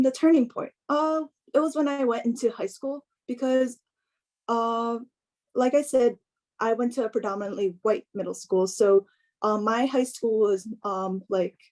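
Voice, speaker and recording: Young woman, higher mellow voice, halting delivery. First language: English. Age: young adult. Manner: hesitant, halting, peppered with "like". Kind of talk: informal personal testimony.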